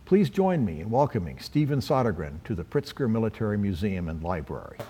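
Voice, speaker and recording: A man acting as event host, formally reading out a speaker introduction in a measured way. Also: fairly low pitch, very animated, heavy background noise.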